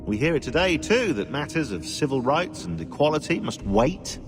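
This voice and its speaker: Opinionated British historian, sardonic, indignant, articulate, lively intonation, video game dialogue, music behind. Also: male voice, a little high, normal speed, gravelly. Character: cynical, opinionated male historian, argumentative and bitingly dry.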